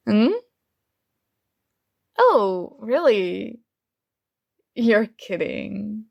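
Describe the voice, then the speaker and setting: A woman playing a secretly jealous, teasing roommate in an intimate one-sided ASMR roleplay, with hesitant pauses. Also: on the high side, gravelly, exaggerated voice. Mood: playful teasing. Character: secretly jealous female roommate, teasing, hurt but feigning indifference.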